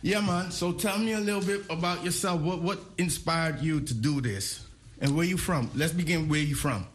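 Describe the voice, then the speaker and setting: A man's voice: a little high, moderate pace. A man hosting a casual interview, asking rambling questions that often restart midway. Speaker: interviewer host.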